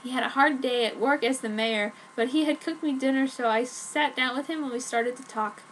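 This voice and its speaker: A woman's voice: high-pitched, a little fast, poor audio. A woman giving a dramatic reading of a fan fiction story. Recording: noticeable background noise.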